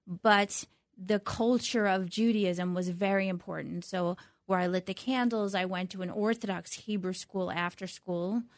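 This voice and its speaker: A middle-aged woman speaking as an interview guest, reflective and halting, often restarting her sentences. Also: medium pitch, fairly even intonation, no echo, studio quality. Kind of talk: conversational interview.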